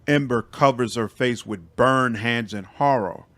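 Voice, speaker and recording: A man's voice: mid-range voice, a little slow, fairly bright tone. A man reading scripted fantasy game narration in a dramatic storytelling style. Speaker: gaming video creator and narrator.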